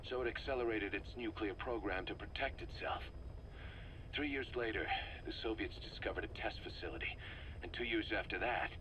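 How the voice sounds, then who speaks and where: A man's voice: deep voice, bright, forceful tone. A man voicing an assured, knowing intelligence briefer in a video game, explaining dryly on a slightly noisy tape. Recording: faint tape noise.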